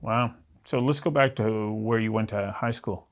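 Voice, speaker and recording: A man's voice: fairly low pitch, moderate pace. A man hosting an interview, asking questions in a casual, conversational way. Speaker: interviewer, host.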